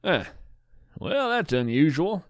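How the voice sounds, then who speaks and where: A man playing a folksy, kindly, eccentric cryptid naturalist in an audio fiction podcast, telling things in a whimsical, gentle way. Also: a little high, sing-song, very noisy. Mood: mildly curious. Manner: whimsical, gentle storytelling with drawn-out phrases. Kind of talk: scripted audio fiction monologue.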